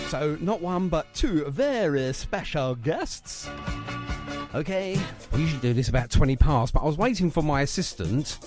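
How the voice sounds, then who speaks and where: A man hosting a radio show in a chatty, jovial, bantering manner. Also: a little high. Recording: music playing underneath the voice. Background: music bed.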